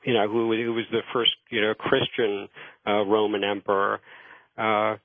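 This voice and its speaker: Halting male history guest, phone-line sound. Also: fairly low pitch, level tone, no echo. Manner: halting, repeats words as he starts phrases. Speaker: interview guest explaining history. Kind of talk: informal radio interview, storytelling history. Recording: narrow tinny phone line.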